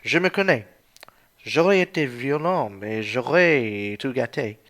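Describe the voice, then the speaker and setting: A man's voice: mid-range voice, lively intonation, slow, fairly bright tone. A man playing a stubborn, principled traveller in an amateur radio play, emphatic and proudly defiant. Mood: proud, impatient.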